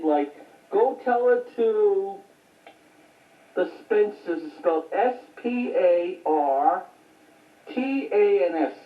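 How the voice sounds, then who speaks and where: A woman giving a casual, unscripted show-and-tell of a home collection in a rambling, matter-of-fact way. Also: mid-range voice. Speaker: home video collector showing recent finds. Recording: tinny phone-like audio, echoey, distant mic.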